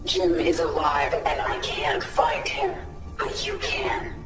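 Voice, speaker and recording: Stern, measured warrior commander. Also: female voice, low-pitched, huge pitch swings, roomy sound, over music, exaggerated voice. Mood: urgent. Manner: terse, stern, urgent. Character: commanding, impatient, menacing warrior woman. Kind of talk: video game voice acting.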